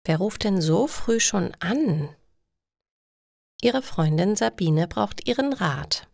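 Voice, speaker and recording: A woman's voice: mid-range voice, lively intonation, slow, gravelly, darkish tone, no echo, studio quality. A woman reading a scripted story for language learners in careful, separated phrases.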